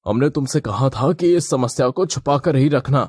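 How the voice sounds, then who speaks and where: A man voicing the men of a cartoon family tale, in an expressive, exclamatory way. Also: medium pitch, normal speed, husky, no room sound, clean studio sound, lightly acted. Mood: admonishing. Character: men of a family tale: father, groom, priest. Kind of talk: animated moral-story dialogue.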